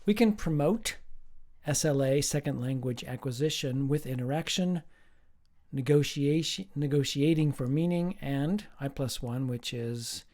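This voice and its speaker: Guy professor doing a lecture, mellow tone, emphatic, kinda playful asides. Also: medium pitch, measured pace. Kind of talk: recorded lecture.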